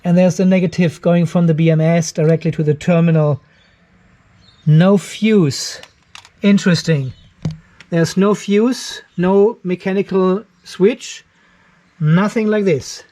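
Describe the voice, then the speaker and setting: A man's voice: sits high, fairly even intonation, measured pace, warm tone. A man hosting a hands-on battery inspection, thinking aloud and explaining what he finds as he goes. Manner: curious, musing, explaining as he goes. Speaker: channel host reviewing a home battery.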